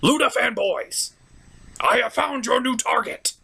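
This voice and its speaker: A man's voice: extremely high pitch, unhurried, vocal fry, sharp tone, breathy edge. A man delivering a ranting, profane review as an online host, exasperated and emphatic.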